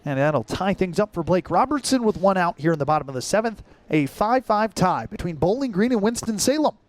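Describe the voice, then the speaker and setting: High-voiced man calling sports play-by-play. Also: big pitch swings, fairly quick, no echo. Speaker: play-by-play commentator. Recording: noisy sports venue.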